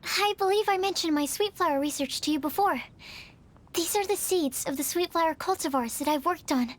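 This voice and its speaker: Giovane studiosa dalla voce acutissima. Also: female voice, very high voice, a little fast. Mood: earnest. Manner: gentle, earnest, hesitant. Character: shy, kind, earnest young female researcher. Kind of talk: video game voice acting.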